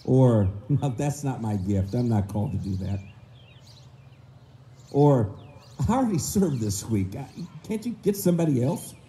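A middle-aged man, hoarse and low-voiced this morning, preaching a sermon at a stand mic in an outdoor park pavilion and mimicking people's excuses in quick voices. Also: animated, mellow, a little hiss or hum. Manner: acts out excuses in quick voices, slow emphatic stresses. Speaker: minister. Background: faint outdoor ambience with birds.

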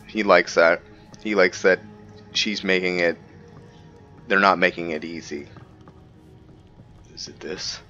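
A man giving casual let's play commentary, pausing often mid-sentence as he thinks aloud. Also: low voice, fairly even intonation, pushed and bright, muffled recording. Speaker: game streamer narrating a roleplay playthrough. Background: game music.

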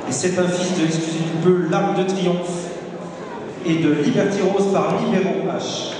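A man commentating a horse show presentation over a public address system in a large indoor arena, measured and informative. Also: high-pitched, fairly even intonation. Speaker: show announcer. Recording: echoey PA in a hall, heard from far off. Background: crowd noise.

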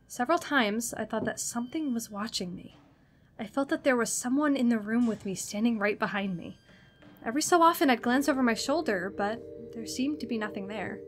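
A woman acts a frightened young woman in a radio play, insistent and haunted. Her voice is on the high side. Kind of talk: radio drama acting.

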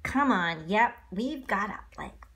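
A woman co-reading a children's storybook, with excitable, playful asides and repeated phrases. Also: mid-range voice, takes it slowly, slightly rough. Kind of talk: animated read-along storytelling with playful asides.

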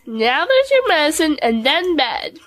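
Motherly kangaroo voiced by a woman for storybook audio play: high, lively, fussing, playfully stern. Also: measured pace, a little hiss or hum, heavily acted. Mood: brisk motherly. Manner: fussing, singsong, mock-innocent. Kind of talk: children's storybook audio drama.

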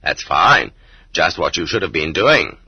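A man's voice: sits low, big pitch swings, unhurried, slightly rough, dry recording, lo-fi sound, pitched below the performer's own voice, exaggerated voice. A man voicing a smug, dogmatic Marxist historian who lectures in a condescending way in an audiobook. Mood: smugly dismissive. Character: smug, pedantic educated male Marxist historian, dogmatically dismissive.

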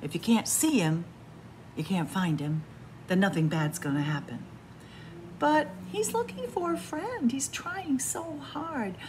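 A woman reads aloud to young children at a library story time. Her pitch moves expressively up and down at an unhurried overall pace, with chatty explanations along the way. Faint room noise sits behind her. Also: lively intonation, darkish tone. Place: small library room with bookshelves. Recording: light room background noise.

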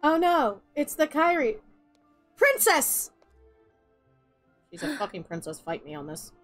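Excitable woman ranting over a game. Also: high-pitched, unhurried, soft background music. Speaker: streamer. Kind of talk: let's play commentary.

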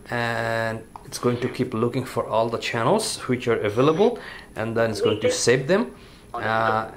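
A man's voice: medium pitch, a little hiss or hum. Easygoing man reviewing a gadget. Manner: relaxed, filler-heavy, says "you know" often. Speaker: product reviewer. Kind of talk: casual hands-on product demonstration. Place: indoor room at a table.

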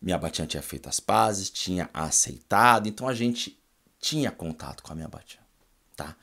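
A Brazilian man in his forties with a São Paulo accent, telling his life story in a casual, animated way in a small room at home and often ending lines with a quick "tá?" or "né?". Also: deep voice, creaky. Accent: São Paulo Brazilian Portuguese. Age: 40s. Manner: animated, gestures a lot, tags lines with "tá?" and "né?". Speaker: vlogger.

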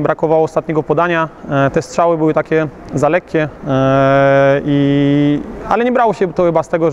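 A man in his thirties coaching youth football, speaking after a match in a slightly high voice. He stops often with fillers and restarts his phrases. A faint hum of the outdoors sits behind him. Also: a little mellow, highs cut off. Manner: hesitant, many fillers and restarts. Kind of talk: post-match interview. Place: outdoors beside a covered pitch.

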